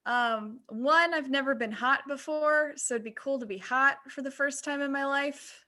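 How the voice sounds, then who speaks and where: A woman's voice: high-pitched, normal speed, bright, forceful tone. A woman co-hosting a casual podcast, candid and breezy with plenty of informal slang. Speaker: podcast co-host. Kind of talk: casual panel podcast chat.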